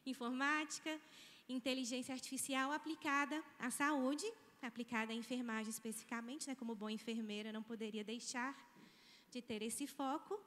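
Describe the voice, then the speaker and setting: A woman's voice: on the high side, steady tone, moderate pace, fairly bright tone. A woman in her thirties from Minas Gerais with a Mineiro accent, giving a chatty, informal conference keynote on a handheld mic in a large auditorium. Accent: Mineiro Brazilian Portuguese. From Brazil, Minas Gerais. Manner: chatty, informal, with frequent tag questions. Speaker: guest speaker, nursing professor. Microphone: handheld microphone. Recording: hall reverb.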